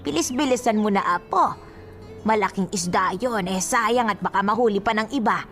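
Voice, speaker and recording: A woman's voice: medium pitch, normal speed, soft background music, natural voice. An actress playing a kindly, teasing elderly grandmother in a radio drama, with a Filipino accent and a fond, chatty manner.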